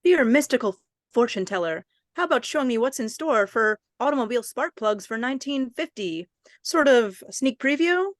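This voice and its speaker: A woman playing an eager, wheedling pitch character in a mid-century radio commercial, peppy in manner. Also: animated, moderate pace, lightly acted. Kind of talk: 1950s radio commercial acting.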